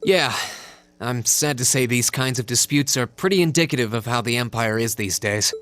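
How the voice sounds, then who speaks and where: A calm young man, a student hero from a video game, voiced by a male actor, speaks at a middling pitch. He sounds earnest, fair-minded and level-headed. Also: normal speed, no room sound, close to an everyday voice. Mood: calm, thoughtful.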